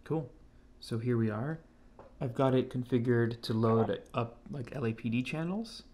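An American man talks through a hobby electronics demo in a medium-pitched voice. His delivery is laid-back and informal, like someone explaining a project at his desk. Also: moderate pace, darkish tone, a little hiss or hum. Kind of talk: casual tech demonstration. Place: indoors at a home computer desk.

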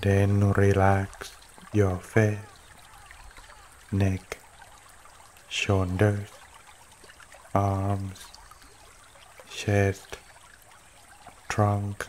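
A man with a lowish voice guides a meditation at an extremely slow pace. His delivery is almost completely flat and gentle, in short phrases separated by long pauses. Water trickles softly behind him. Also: a little hiss or hum. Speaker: meditation teacher. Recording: running water throughout. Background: trickling stream water.

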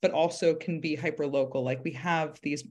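A woman's voice: sits low, steady tone, moderate pace, a little mellow. A woman in her thirties explaining her work casually in a panel conversation. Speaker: theatre director. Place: indoor room at home, video call. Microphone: video call microphone.

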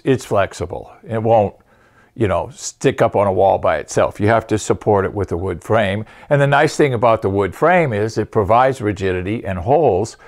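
A man's voice: lowish voice, a little mellow. A man in his sixties presenting an informal chalkboard lesson as an instructor, explaining step by step and checking in with "okay". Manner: explanatory, punctuates points with "okay". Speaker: acoustics company presenter and instructor. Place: indoor studio, at a chalkboard.

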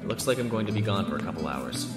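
A man's voice: deep voice, expressive, very fast, loud music behind the voice. A man playing a courteous, earnest young man in an audio drama. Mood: relaxed, businesslike.

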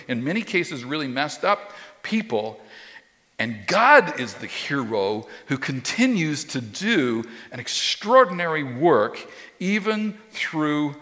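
Animated pastor preaching in a reverberant church. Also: male voice, medium pitch. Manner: conversational, animated, many restarts and repeated words. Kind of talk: sermon. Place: church stage with a drum kit behind, indoors. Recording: long reverb of a church hall.

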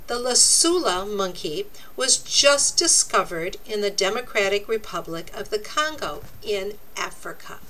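A woman reading a children's nonfiction book aloud, with deliberate, expressive, teacherly phrasing. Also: medium pitch, rough recording. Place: indoors, book laid open before a camera.

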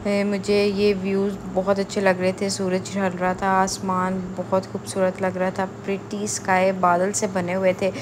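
A woman's voice: medium pitch, monotone, a little slow, hardly any pauses, background noise. A young woman, chatting steadily as she narrates her salon vlog, leaning on filler phrases. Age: young adult. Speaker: salon owner and beauty vlogger. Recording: clear room ambience behind.

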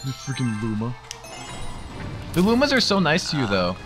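A man's voice: mid-range voice, wildly swooping pitch, moderate pace. A young man joking and teasing in casual chat while playing a video game. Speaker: gaming video commentator. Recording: game music behind the voice. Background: video game music.

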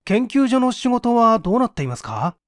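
A man voicing an earnest, brisk young male engineer in audiobook dialogue, polite and businesslike. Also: very high voice, sing-song, dry recording, studio quality, voice pushed up.

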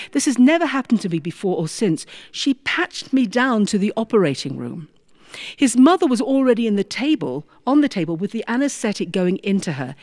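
Female storyteller, animated and expressive, emphatic delivery with wide pitch movement, close dry sound. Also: no room sound. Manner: expressive, dramatic pauses and emphatic repetition. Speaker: storyteller, former prison chaplain. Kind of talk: live-style personal storytelling with acted dialogue.

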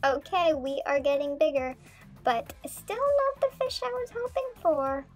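A woman doing a high bubbly dog narrator. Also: lively intonation, a little slow. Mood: playful. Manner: bubbly, punny, pirate-talk. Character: cheerful young dog narrating her boat life. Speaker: vlog narrator. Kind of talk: scripted playful narration. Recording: music playing under the voice. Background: music.

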